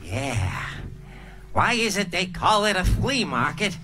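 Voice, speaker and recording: A man's voice: sits high, sing-song, pushed and bright, exaggerated voice. A man voicing a dim-witted, goofy young male pony sidekick in a live fan reading. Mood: dopey. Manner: dopey, slow. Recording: show music playing underneath.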